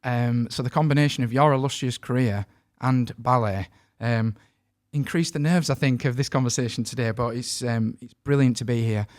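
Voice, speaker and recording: Northern english bloke hosting a podcast, mid pitch, dry close sound, chatty with lots of ums. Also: male voice, moderate pace, few pauses, darkish tone, no echo. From northern England. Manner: chatty, self-deprecating, many ums. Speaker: podcast host. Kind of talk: podcast interview.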